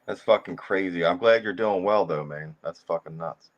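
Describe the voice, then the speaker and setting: A man's voice: low-pitched, moderate pace, lo-fi sound. A man in his late thirties chatting casually with lots of swearing. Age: late 30s. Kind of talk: casual profane group chat.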